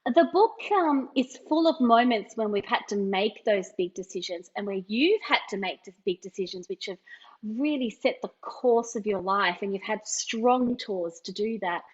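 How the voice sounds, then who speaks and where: A woman's voice: medium pitch, normal speed. A woman, hosting a casual podcast and asking her guest questions in an upbeat way. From Australia. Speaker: podcast host. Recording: dull lo-fi audio.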